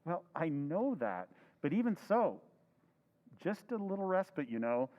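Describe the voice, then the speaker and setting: An actor playing a flustered, excitable henpecked husband in an old-time radio drama recreation. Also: male voice, a little high, unhurried, in a large hall, dull audio.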